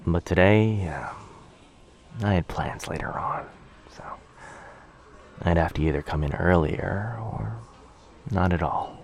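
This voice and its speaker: A man acts out a bashful, gentle young man in an intimate boyfriend roleplay. His deep voice moves slowly and haltingly, with a gravelly fry at the edges. He sounds apologetic and tender, often slipping into a breathy near-whisper. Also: slight background noise. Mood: shy, warming up. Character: very shy, gentle young man, a coffee shop stranger with a crush. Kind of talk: boyfriend ASMR roleplay, soft intimate acting.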